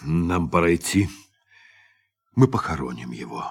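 An actor playing a grave, compassionate spaceship captain in a radio drama. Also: male voice, sits low, very animated, measured pace, husky, full character voice. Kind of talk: Soviet radio drama acting. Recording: ambient noise behind the voice.

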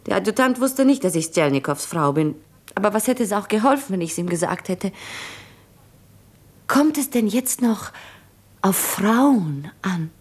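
An actress playing an earnest, anguished wife in a 1950s German radio drama, intimate and fervent, with a husky, breathy voice. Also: female voice, medium pitch, animated, a little slow, long pauses. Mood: bitter, resigned.

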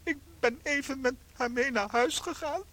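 A male actor playing a tiny shrunken husband in a radio play, meek and tender, slow and hesitant, with a pitched-up voice. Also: sharp tone, no echo, exaggerated voice. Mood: plaintive, confessing.

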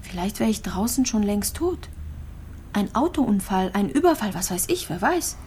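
Actress for terminally ill woman, radio play: intimate, halting, resigned with wistful hope, mid-pitched, faint noise behind. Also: sing-song, dark tone, lightly acted. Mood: wistfully hopeful. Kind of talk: radio drama monologue, intimate and naturalistic.

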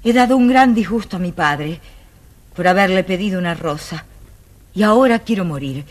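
An actress playing a gentle, brave young woman in a children's audio story, speaking earnestly. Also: fairly low pitch, normal speed. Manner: earnest, expressive delivery.

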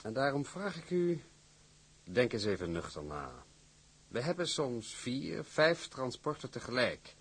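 An actor playing a cautious, courteous shipping director in a period drama, formal and sceptical. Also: male voice, medium pitch, big pitch swings, unhurried, slightly rough, full character voice. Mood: warning, sceptical. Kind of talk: acted period drama dialogue.

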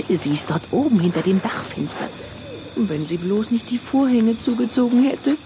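A man's voice: extremely high pitch, unhurried, background noise. A voice actor playing a vain, romantic young vampire boy in a radio play, talking in a teasing, smug way.

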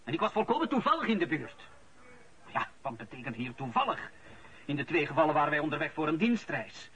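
An indignant Flemish man under suspicion in a radio play. His fairly high voice swings widely as he protests and argues. Faint noise sits behind him. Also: big pitch swings, a little fast, fairly bright tone, highs cut off. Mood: protesting. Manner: argumentative, protesting. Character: male murder suspect, indignant, defensive official.

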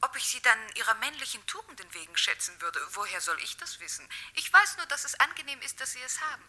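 Bright, sharp, pert young woman. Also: sits high, very animated, full character voice. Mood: sarcastic, cool.

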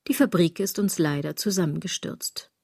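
A woman's voice: on the low side, moderate pace, gravelly, darkish tone, breathy edge, dry recording, studio quality. An actress playing a sardonic, aging woman in a literary radio drama, speaking in an ironic, declamatory way. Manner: ironic, declamatory, wordplay-laden delivery. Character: sardonic aging woman musing on fashion and consumerism.

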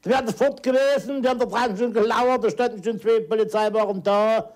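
A man's voice: high voice, fairly even intonation, fast. A man recounting his memories in casual, agitated talk, repeating himself and acting out exchanges. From East Germany. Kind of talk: emotional personal recollection in casual talk.

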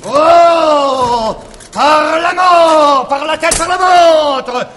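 A man's voice: very high-pitched, extremely slow, fairly bright tone, exaggerated voice. An actor playing a blustering manservant who poses as a murderous swordsman, bellowing threats and oaths in a theatrical stage farce. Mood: menacing rage. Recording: noisy 1961 theatre recording, audience behind.

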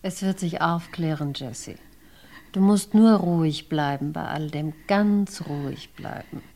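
An actress playing an anxious village woman full of dark foreboding in a German radio crime play, with a brooding, dramatic delivery. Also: low-pitched, measured pace. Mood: soothing, grim.

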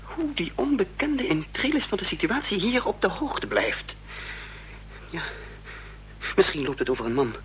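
An actor playing a tense young man in hiding, speaking and narrating haltingly in a Dutch radio drama. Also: high voice, sing-song, fairly bright tone. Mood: agitated. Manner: halting, deliberate. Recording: noisy, humming old radio recording.

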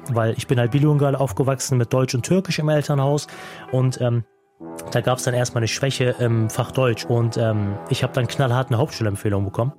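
A young man telling his own life story with earnest reflection, pausing often with fillers. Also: medium pitch. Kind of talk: personal storytelling in a radio feature. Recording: music bed behind the voice.

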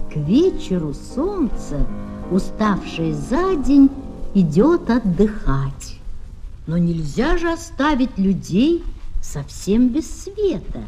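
A woman narrating scripted fairy tales for children in an expressive storybook manner. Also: medium pitch. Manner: expressive, unhurried storybook delivery. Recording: vintage children's flexi-disc with music. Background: music.

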